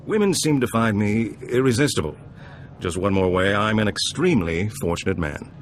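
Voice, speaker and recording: An actor playing a suave older gambler and information broker in a video game, smooth-talking, theatrical and pleased with himself. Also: male voice, on the low side, lively intonation, normal speed, slight background noise, natural voice. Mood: smug charm. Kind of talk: video game dialogue acting.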